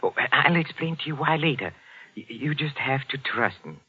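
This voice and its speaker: Henpecked botanist husband: meek, stammering, slyly scheming; lively pitch, vintage radio acting. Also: male voice, moderate pace, breathy edge. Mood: tender, furtive. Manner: hesitant, stammering, falsely deferential. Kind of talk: old-time radio drama acting. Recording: muffled old radio recording.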